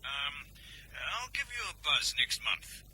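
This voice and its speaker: Evasive busy airline guy, really bright sharp voice, highish, breezy n hesitant, radio play. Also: sing-song, a little slow, a little hiss or hum, full character voice, rough recording. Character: evasive, busy airline colleague making excuses. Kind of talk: radio drama acting.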